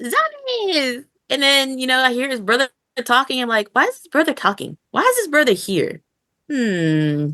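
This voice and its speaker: A woman hosting a casual podcast review chat, rambling along in an animated way and acting out imagined lines. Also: fairly high pitch, dry recording, clean studio sound. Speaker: podcast host.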